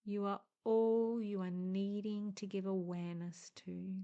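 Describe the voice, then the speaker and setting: A woman leading a guided meditation in a gentle, hushed, soothing way, speaking in short deliberate phrases with long pauses. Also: mid-range voice, flat delivery, clean tone, highs cut off.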